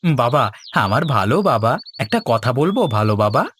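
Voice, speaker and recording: A man's voice: medium pitch, sing-song, normal speed, a bit bright, a little hiss or hum. A man voicing a shameless, greedy young son-in-law in a cartoon, with a whiny, fawning manner. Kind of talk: Bengali cartoon dialogue. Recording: music under the voice. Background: music.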